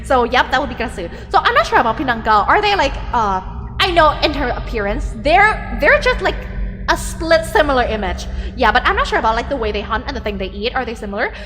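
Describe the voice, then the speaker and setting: A woman with a Thai accent chatting casually as a streamer, reading viewer messages and thinking out loud with lots of "like" fillers. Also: sits high, very animated, a little fast, in a large hall, soft background music. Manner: chatty, filler-heavy, thinks aloud with frequent "like".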